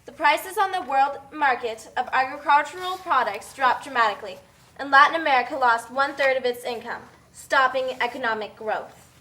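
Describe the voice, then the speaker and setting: A woman's voice: high voice, normal speed, bright, forceful tone, distant mic, a little hiss or hum. A woman narrating an educational puppet show from a script.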